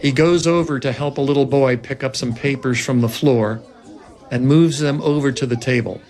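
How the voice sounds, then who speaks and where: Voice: mid-range voice, fairly even intonation, normal speed, darkish tone. A narrator reading scripted stage directions aloud at a film table read.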